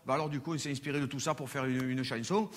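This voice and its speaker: A man's voice: mid-range voice, fairly even intonation, quick pace. Man, Marseille accent, stand-up comic, crude swearing storyteller, live stage with long hall reverb. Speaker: stand-up comedian. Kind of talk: stand-up routine. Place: stage before a live audience.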